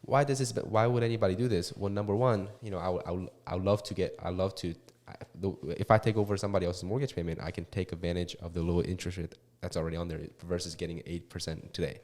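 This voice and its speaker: A man in his twenties, a real estate investor, talking as a guest in a casual interview. He talks quickly and haltingly, with many restarts and fillers. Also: low-pitched, fairly even intonation, darkish tone. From Korea and California. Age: 20s.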